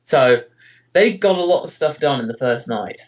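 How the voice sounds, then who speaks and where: A man's voice: mid-range voice, expressive, moderate pace. A man answering interview questions in casual chat, rambling hesitantly with lots of filler phrases. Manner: hesitant, rambling delivery with frequent "you know" and "kind of". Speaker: interviewee, amateur voice actor and web parody creator.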